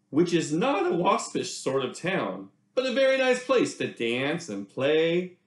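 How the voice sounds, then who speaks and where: Animated American man reading to children. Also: high voice, roomy sound. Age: middle-aged. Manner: animated, theatrical, addresses young listeners directly. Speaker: children's read-aloud presenter. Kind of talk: expressive picture-book read-aloud with chatty asides. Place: small indoor room.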